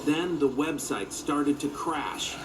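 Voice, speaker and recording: A man's voice: on the high side, slow, background noise. A man reading a children's story aloud as its narrator.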